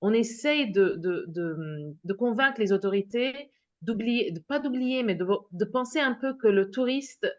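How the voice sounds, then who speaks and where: Woman, webinar panelist, halting speech, many restarts, dull lo-fi call audio. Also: fairly high pitch, expressive. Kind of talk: hesitant casual remarks. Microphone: video call microphone.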